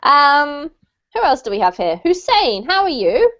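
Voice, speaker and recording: British woman, online English teacher, high bright voice, laughs a lot, muffled call audio. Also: very animated, measured pace. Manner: cheerful, laughs often, greets students by name. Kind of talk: casual online class chat. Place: home room in New Zealand. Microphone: video call. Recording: dull lo-fi video call audio.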